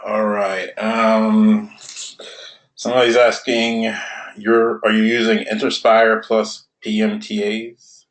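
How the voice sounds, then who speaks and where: A man hosting a live webinar Q&A, casually reading audience questions aloud with pauses between them. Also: lowish voice, flat delivery. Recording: roomy echoey sound.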